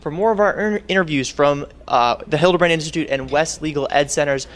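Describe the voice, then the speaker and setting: A man's voice: fairly high pitch, quick pace, a bit bright. A man hosting a web TV interview at a professional conference, asking questions as interviewer. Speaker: interviewer and host. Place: on location at a conference.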